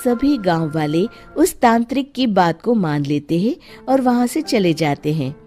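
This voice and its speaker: A woman narrating a Hindi cartoon moral story in an expressive storytelling style. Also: medium pitch, a little slow, faint music behind.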